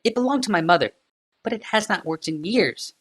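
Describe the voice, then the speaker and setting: A male narrator voicing a haughty young princess who speaks sweetly but curtly, in a high, slow voice. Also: lively intonation, fairly bright tone.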